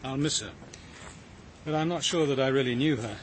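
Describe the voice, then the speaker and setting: An actor playing a thoughtful, reserved detective in a radio drama, speaking politely and with understatement in a British accent. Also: male voice, medium pitch, normal speed, noisy background, lightly acted. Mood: courteous, reflective. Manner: polite, understated, gently hesitant.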